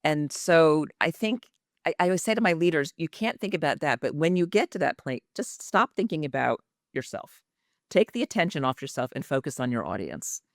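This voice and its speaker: A woman's voice: sits low, big pitch swings, fairly quick, no room sound. Woman, communication coach, reflective, earnest, dry studio sound. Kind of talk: interview guest, conversational.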